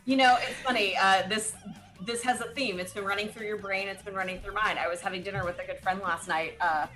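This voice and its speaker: A woman's voice: medium pitch, fairly even intonation, a little fast, bright, forceful tone, soft background music. A woman co-hosting an opera talk show, chatting in a playful, bubbly way. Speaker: co-host. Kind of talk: casual chat.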